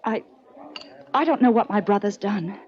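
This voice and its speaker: A woman's voice: medium pitch, animated, moderate pace, natural voice. An actress playing a guarded, anxious, well-spoken woman in her twenties, earnest and hesitant, in a 1940s radio drama. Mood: guarded, worried. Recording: dull old 1940s radio recording.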